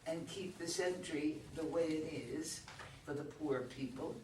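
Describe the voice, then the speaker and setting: A woman acting a wry, slow-spoken poor tenant, distant and roomy. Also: low-pitched, fairly even intonation, dark tone. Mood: insistent. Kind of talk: staged play reading. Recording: distant roomy live recording, background noise.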